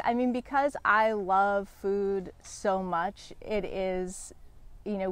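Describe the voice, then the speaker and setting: Gravelly-voiced woman farmer, candid. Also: lowish voice. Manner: relaxed and candid, with fillers and small laughs. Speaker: guest farmer. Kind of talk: casual interview answers. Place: outdoors in a garden.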